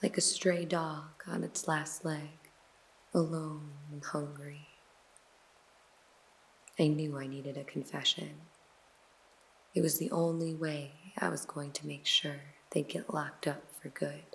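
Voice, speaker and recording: A woman playing a weary hard-boiled detective, narrating a noir story in a slow, brooding half-whisper over faint music. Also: sits low, level tone, vocal fry, exaggerated voice, poor audio.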